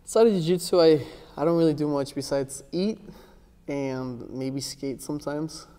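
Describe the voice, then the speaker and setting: A young man born in Miami and raised in Ecuador and Texas, answering interview questions in a soft, relaxed way, with lots of restarts and "like". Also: on the high side, normal speed. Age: young adult. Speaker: interview guest, jiu-jitsu athlete. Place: gym with large windows, indoors. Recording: long reverb from a large room.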